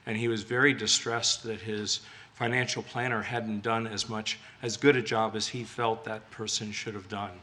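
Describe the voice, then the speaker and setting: A middle-aged or older man giving an informal eulogy, speaking in a halting, hesitant way with frequent pauses. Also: lowish voice, level tone, pushed and bright. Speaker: speaker giving a memorial tribute. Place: memorial gathering at a retirement community. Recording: live speech with clear background noise.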